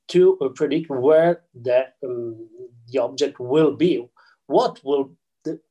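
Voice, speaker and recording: A man speaking English with an Italian accent explains ideas slowly and carefully. He hesitates constantly, filling gaps with sounds and restarting half-finished phrases. His pace stays unhurried throughout. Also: fairly high pitch, mellow, poor audio. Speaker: guest scholar. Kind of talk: explaining physics and theology in an interview.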